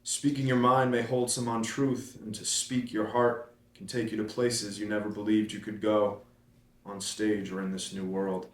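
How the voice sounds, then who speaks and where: An actor reading the part of a numbed man confessing to a killing, grave and flat, at a table read. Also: lowish voice, normal speed, recorded from a distance, natural voice. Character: numb man, Ethan, who killed someone. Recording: echoey room, off-mic.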